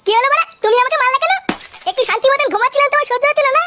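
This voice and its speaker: An actor voicing a cheeky, foul-mouthed village lad in a comic cartoon, with squeaky pitched-up speech and a crude, indignant, slangy manner. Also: very fast, sharp tone. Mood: startled complaint. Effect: pitch-shifted up. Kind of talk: exaggerated cartoon dialogue.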